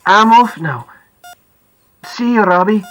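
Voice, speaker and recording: A woman's voice: medium pitch, animated, takes it slowly. A woman voicing an upset, apologetic Scottish father in an audiobook, speaking earnestly with a wobbly, pleading delivery. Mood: gently kind. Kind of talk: audiobook character acting.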